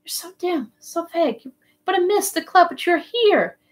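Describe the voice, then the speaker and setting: A dying man in delirium, acted by a woman audiobook reader, lifted well up in pitch. He is tender and yearning, pleading fervently in broken little bursts. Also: expressive, normal speed. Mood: delirious, tender.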